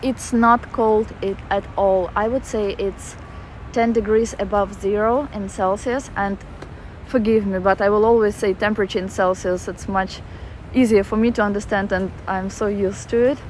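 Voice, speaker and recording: A woman's voice: mid-range voice, fairly even intonation, moderate pace, darkish tone, low-quality recording. Russian-accented woman livestreaming on a city walk, chatty and loose, faint traffic behind her. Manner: chatty, reads viewer comments aloud with fillers. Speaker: walking livestreamer. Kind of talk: casual live-stream chat reading comments. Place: outdoors in a city park.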